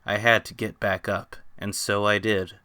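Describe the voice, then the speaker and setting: A man's voice: fairly low pitch, flat delivery, a little slow, pushed and bright, clean tone, no room sound, studio quality. A man giving a dramatic reading of fan fiction as its narrator. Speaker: author reading own story.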